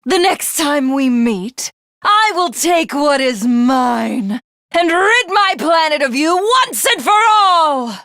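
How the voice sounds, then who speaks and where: Haughty alien villainess, widely swooping voice. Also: female voice, high voice, very animated, fairly bright tone, dry recording, clean studio sound, full character voice. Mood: furious, megalomaniacal. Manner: imperious, grandiose, taunting, drawn-out delivery. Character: haughty, ruthless alien villainess craving godhood. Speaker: video game voice actress. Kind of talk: theatrical video game villain acting.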